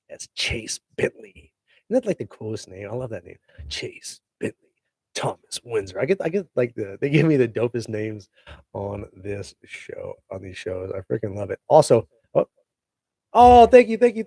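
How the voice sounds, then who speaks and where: A man's voice: mid-range voice, very animated, moderate pace, warm tone, no room sound. A man who is an actor hosting his own show, chatting casually to viewers in a laid-back, slangy way that hypes up his news, speaking into a handheld mic held close to his face.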